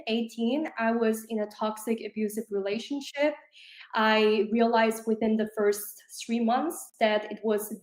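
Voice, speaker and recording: A woman's voice: a little high, darkish tone. Earnest woman in an echoey room. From South Korea, raised in Switzerland. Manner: earnest, fluent with many "like" fillers. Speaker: interview guest, coach. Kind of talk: conversational personal story.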